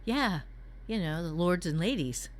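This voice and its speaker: A woman's voice: fairly low pitch, lively intonation, measured pace, slight background noise. A woman hosting a writing workshop, chatting casually as she shares story ideas.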